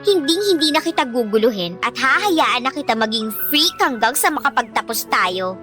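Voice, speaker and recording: A woman's voice: high voice, lively intonation, moderate pace, music in the background. A woman playing a mean, haughty teenage schoolgirl bully in a radio drama, taunting and sneering theatrically with a Filipino accent. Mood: sneering, demanding.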